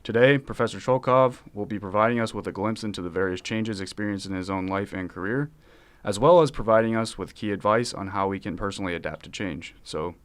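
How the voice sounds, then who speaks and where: A young man with a low voice hosts a student podcast, formal and clearly reading from prepared lines. He sets up the show and asks his questions in a careful, polished way. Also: fairly quick. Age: young adult. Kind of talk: scripted intro and interview questions.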